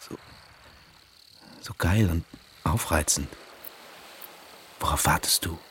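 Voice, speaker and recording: Casting: troubled male diving instructor, low register, conflicted, confessional radio play delivery, slight background ambience. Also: slow pace, a bit bright, a little hiss or hum, heavily acted. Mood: troubled, regretful. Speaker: actor in radio drama. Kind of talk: intimate first-person radio drama narration and dialogue.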